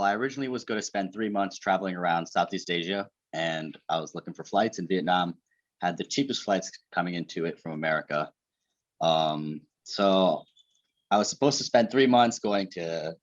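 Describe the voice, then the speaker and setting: Podcast guest, male, 20s, lowish pitch, casual delivery, dulled lo-fi recording. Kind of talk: casual chat. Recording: dull, muffled lo-fi audio.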